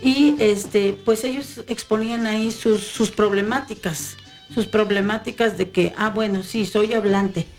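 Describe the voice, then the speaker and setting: Brief: hesitant woman in casual talk, soft music underneath. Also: mid-range voice, steady tone, measured pace. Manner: hesitant, many fillers and restarts. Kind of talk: casual conversation.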